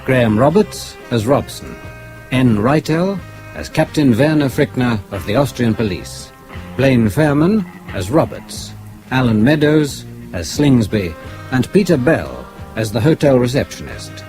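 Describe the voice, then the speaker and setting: A man reading closing credits as a radio announcer, in a formal, clearly enunciated style. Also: mid-range voice, lively intonation, unhurried, poor audio. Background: music.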